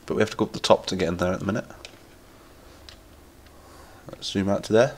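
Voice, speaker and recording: A man's voice: deep voice, steady tone, a little fast. A man from Lincolnshire with an English accent, chatting enthusiastically on a live stream while nasal from a cold. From England. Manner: chatty, enthusiastic, rambling, reading chat aloud. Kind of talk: casual live-stream chat while gaming.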